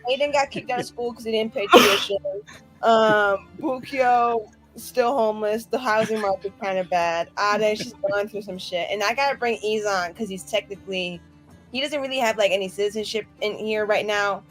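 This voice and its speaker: A woman's voice: medium pitch, moderate pace, soft background music, low-quality recording. A woman chatting casually as a tabletop game player, playful and jokey in her delivery. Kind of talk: casual chat.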